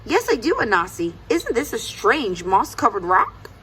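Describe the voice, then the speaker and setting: A woman's voice: fairly high pitch, sing-song, moderate pace, fairly bright tone, husky, full character voice. A woman voicing a trusting male elephant in a children's storybook, polite and agreeable in manner.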